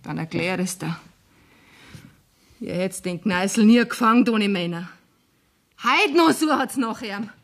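A woman's voice: mid-range voice, expressive, a little slow, pauses often. An actress playing a drunken, bitter, taunting young tavern woman with a Bavarian accent in a German radio play. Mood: mocking. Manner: brash, mocking, coarse-mouthed.

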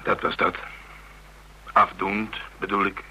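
An actor with a Netherlands Dutch accent playing a gruff, pragmatic older man in a radio drama, terse and dry. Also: deep voice, level tone, slow, sharp tone, full character voice. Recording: noisy, humming radio play recording.

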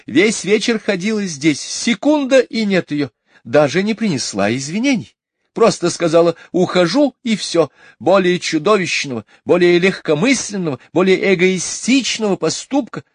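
A man voicing a fussy, indignant mother in a storybook reading, in a high, shrill falsetto. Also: very animated, normal speed, no echo, clean studio sound. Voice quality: high falsetto, pitch far above his own. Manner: exclaiming, offended, scolding.

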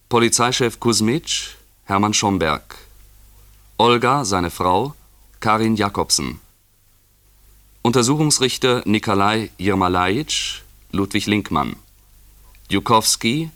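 A man working as a 1950s radio announcer, reading formal scripted credits in a measured, precise manner. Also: on the low side, a bit bright, no echo. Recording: dry 1950s radio studio recording.

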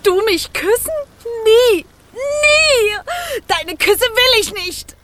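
A woman playing a proud, defiant young fire spirit girl in a children's radio play, impetuous and quick to exclaim. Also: very high-pitched, big pitch swings, music in the background, full character voice. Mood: frantic, disgusted.

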